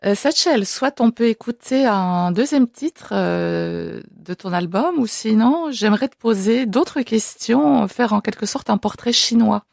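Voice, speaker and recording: A woman's voice: a little high, animated, a little slow, creaky, hardly any pauses, darkish tone, dry recording, clean studio sound. A woman hosting an interview, asking questions in a friendly way and laughing often. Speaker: interviewer host.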